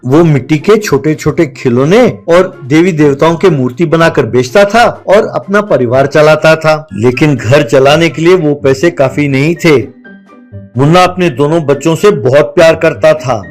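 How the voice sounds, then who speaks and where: A man narrating a scripted children's cartoon story as the storyteller. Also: on the high side.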